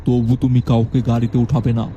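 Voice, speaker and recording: A man voicing a tall, stout male graveyard caretaker who speaks slowly and gravely, giving calm commands. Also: mid-range voice, dull audio, slight background noise, lightly acted.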